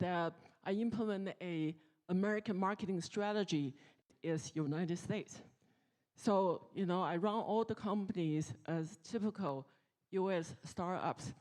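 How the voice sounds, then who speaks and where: A woman from Taiwan giving an informal conference presentation, speaking candidly in a halting way. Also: fairly low pitch, a little mellow. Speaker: tech executive and guest speaker. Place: conference stage.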